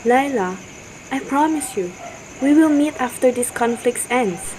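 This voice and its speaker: Woman acting a high-voiced devoted young man. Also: lively intonation, a little slow, dark tone, poor audio. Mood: earnest, reassuring. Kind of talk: amateur radio drama acting. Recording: faint noise with chirping crickets.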